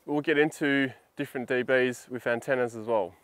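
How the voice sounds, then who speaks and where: A man's voice: mid-range voice, fairly even intonation, normal speed, very noisy. An Australian man giving an informal instructional explanation in a plain-spoken, colloquial, practical way. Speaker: instructional video presenter.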